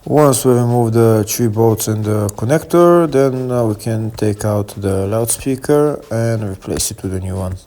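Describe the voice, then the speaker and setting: Low-pitched man giving halting how-to instructions. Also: warm tone, soft background music. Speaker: car repair tutorial presenter. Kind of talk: step-by-step how-to narration.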